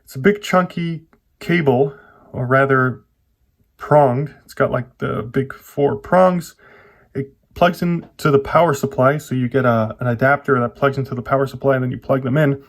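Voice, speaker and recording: Man giving casual, halting tech commentary. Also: mid-range voice. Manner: informal, halting, self-correcting explanations. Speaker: tech vlogger. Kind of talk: casual hands-on PC build commentary.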